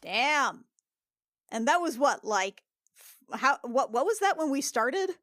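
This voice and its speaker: A woman's voice: sits high, big pitch swings, measured pace, a bit bright, no room sound. A woman chatting casually and playfully as a player in a tabletop game.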